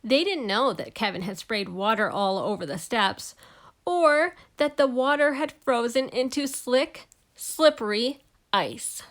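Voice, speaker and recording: A woman reading a children's storybook aloud in an expressive narrating style. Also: fairly high pitch, a little slow. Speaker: storybook reader.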